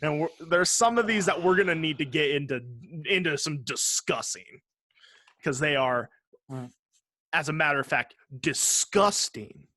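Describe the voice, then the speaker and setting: A man co-hosting a gaming podcast in casual chat, joking and sarcastic, swearing freely and often halting to rephrase. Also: fairly high pitch, expressive, bright, forceful tone, dry recording. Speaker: podcast co-host.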